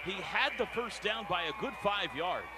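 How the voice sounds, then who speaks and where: A man's voice: sits high, lively intonation, moderate pace. A man with an American accent calling football play-by-play live on the radio in clipped running descriptions. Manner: clipped running descriptions, building to shouts on big plays. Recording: radio broadcast over loud stadium noise.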